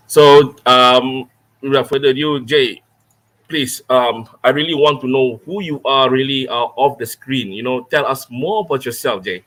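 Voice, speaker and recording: A man from Malaysia hosting a live interview, nervous, talking quickly and laughing often. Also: medium pitch, a bit bright, rough recording. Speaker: host of a vaping channel live stream. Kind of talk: casual live-stream interview.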